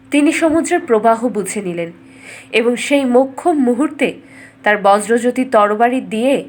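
A woman storyteller giving a scripted, dramatic fairytale narration in measured, expressive phrases. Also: a little high.

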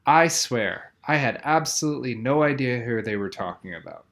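A man's voice: mid-range voice, expressive, moderate pace, a bit bright. A man reading a children's novel aloud, telling the story expressively with plenty of emphasis. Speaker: audiobook reader.

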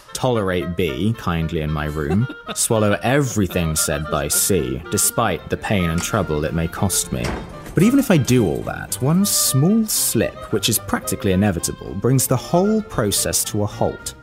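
A low male voice delivers a brooding literary monologue as if lecturing himself. The delivery is theatrical and deliberate, with very lively intonation. Music plays behind the voice. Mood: wry, strained. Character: brooding, self-lecturing narrator of a bleak monologue. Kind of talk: dramatic literary narration in an audio drama.

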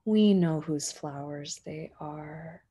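Slow, husky woman reading a storybook. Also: low-pitched, mellow. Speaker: teacher reading a picture book aloud. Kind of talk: expressive children's storybook read-aloud.

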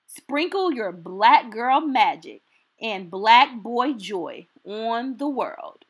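A woman reading a children's picture book aloud as a storytime host, in an expressive, deliberate and encouraging way. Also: fairly high pitch, slightly rough.

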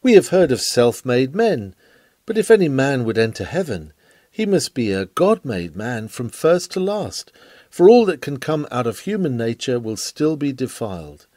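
A man's voice: medium pitch, big pitch swings, moderate pace, dark tone, no echo, studio quality. A man reading an old sermon aloud in an earnest, rhetorical and emphatic way. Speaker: sermon reader.

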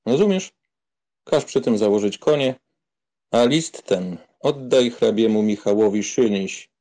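An actor in a dramatized audiobook playing a haughty young aristocrat, curtly giving orders to a servant. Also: male voice, lowish voice, lively intonation, a little slow, warm tone. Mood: brisk, commanding. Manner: commanding, curt.

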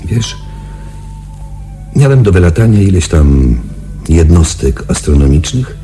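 An actor playing a shaken young space patrol pilot, confiding his story in a halting, dramatic radio-play delivery. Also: low voice, very animated, mellow, soft background music. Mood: subdued, reflective.

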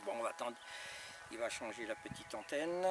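A man's voice: mid-range voice, animated, normal speed. Man on radio, distant and noisy. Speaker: amateur radio operator. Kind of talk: casual radio check chat. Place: outdoors in a gravel yard by a house. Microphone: camera a few metres away. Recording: distant camera mic, noisy open air.

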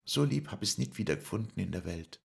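A man voicing a warmhearted young woman, a traveling puppeteer's daughter who speaks in a lilting southern German dialect. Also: fairly low pitch, animated, brisk, creaky, pitched above the performer's own voice. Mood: wistful. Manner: heartfelt, lilting dialect speech.

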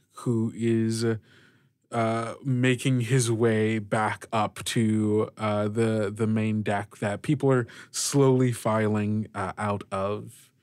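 Low-voiced, slow-paced male game master. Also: dry recording, studio quality. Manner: measured, halting delivery. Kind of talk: descriptive game narration.

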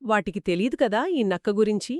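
A woman shares a tale for children in a chatty back-and-forth style. Her mid-range voice is engaged and dramatic, pitched toward young listeners. It is recorded dry and close, without room echo. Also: fairly quick. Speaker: storyteller, co-host of a children's story show. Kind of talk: conversational children's storytelling dialogue.

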